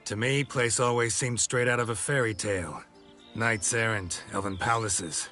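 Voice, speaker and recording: Gruff male game hero, low bright rasp, terse, dry, world-weary mercenary. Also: fairly even intonation, normal speed, faint music behind. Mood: wry. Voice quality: gravelly, husky. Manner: terse, clipped, dry understatement. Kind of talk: video game voice acting.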